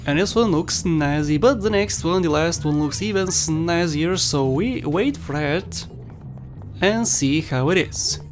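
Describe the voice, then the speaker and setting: A man's voice: fairly high pitch, expressive, unhurried. Bulgarian-accented young man joking through a game. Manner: jokey, rambling, laughs at his own jokes. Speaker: gameplay commentator. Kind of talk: playful walkthrough commentary. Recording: faint game music underneath.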